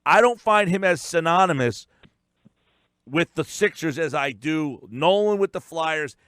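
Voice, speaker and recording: A man's voice: fairly high pitch, expressive, unhurried, a bit bright, clear, resonant tone, no echo, studio quality. An American man hosting a sports talk radio show in a casual, conversational style.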